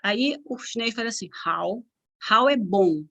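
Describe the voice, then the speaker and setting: A woman with a Brazilian accent giving a lively, conversational conference talk over a video call as a cross-cultural consultant. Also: on the high side, moderate pace, dull audio. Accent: Brazilian Portuguese. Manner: lively, conversational, frequent tag questions.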